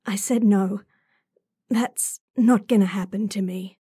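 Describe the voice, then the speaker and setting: A woman's voice: mid-range voice, steady tone, slow, dark tone, slightly rough, no room sound, clean studio sound. A woman playing an idealistic, stubborn young werewolf in an audio drama, earnest and defiant but restrained. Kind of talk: audio roleplay drama acting.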